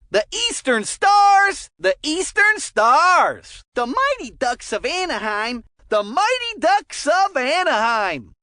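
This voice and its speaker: Excitable, high-pitched cartoon hockey announcer. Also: dry recording, studio quality, full character voice. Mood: excited. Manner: exaggerated, punchy announcer delivery. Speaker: sports announcer. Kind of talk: game commentary, isolated team-name callouts.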